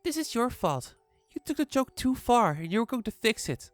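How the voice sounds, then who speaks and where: A woman's voice: on the high side, big pitch swings, normal speed, dry recording, soft background music. A woman playing a bossy older village girl who talks sternly and gives orders in a horror story. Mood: scolding. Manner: stern, commanding.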